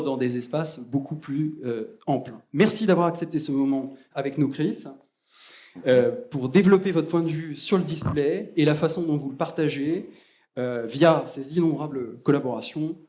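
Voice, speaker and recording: A man's voice: mid-range voice, warm tone. A man introducing the guest speaker at a lecture, reading a formal introduction with frequent hesitations.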